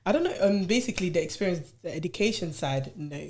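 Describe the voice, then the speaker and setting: Brief: female co-host, deep mellow voice, quick blunt chatter. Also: lively intonation, husky. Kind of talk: casual chat.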